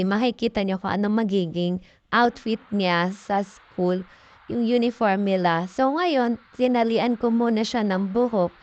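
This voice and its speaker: A woman's voice: mid-range voice, darkish tone, no echo, muffled recording. A young Filipino woman with a chatty, informal manner, narrating a casual vlog as a voice-over. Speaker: mom vlogger.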